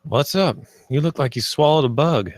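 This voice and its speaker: A man's voice: a little high, expressive, normal speed, dry recording, lightly acted. A man at a table read playing an easygoing, teasing salvage worker in a casual, plain-spoken way. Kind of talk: table read of a film script.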